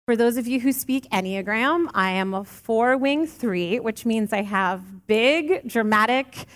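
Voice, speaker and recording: A woman in her late thirties giving a sermon, earnest and warm with touches of light humor. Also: on the high side, a little slow. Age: late 30s. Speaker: lay preacher. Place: church sanctuary. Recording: long church reverb.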